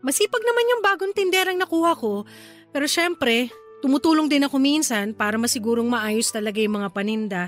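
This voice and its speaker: Radio drama role: evasive young female grocery manager, defensive, expressive, high-pitched. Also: fairly quick, faint music behind. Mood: placating, sweet. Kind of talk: Tagalog radio drama acting.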